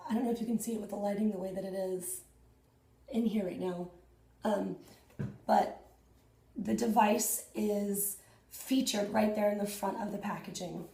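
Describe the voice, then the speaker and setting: A woman in her thirties, hoarse and husky after losing her voice, chatting excitedly to viewers in a casual unboxing vlog at home. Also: medium pitch, reverberant. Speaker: fitness vlogger. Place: small indoor room at home.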